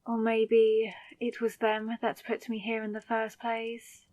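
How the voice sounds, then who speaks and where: A woman's voice: a little high, monotone, slow, darkish tone, no room sound, muffled recording. A woman acting in an audio roleplay as a confused, hungover new vampire, wary and sarcastic, muttering in exasperation to herself. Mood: groggy, bewildered.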